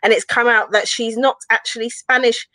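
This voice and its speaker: A woman's voice: on the high side, fairly even intonation, normal speed, sharp tone, dry recording. A woman in a casual panel chat, telling a gossipy story to the others.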